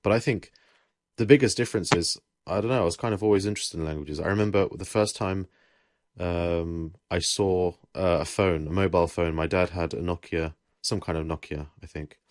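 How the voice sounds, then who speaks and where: Low-voiced young Englishman, relaxed chat. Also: brisk, no echo. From England. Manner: relaxed, rambling, with self-corrections. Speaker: podcast host. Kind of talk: casual chat.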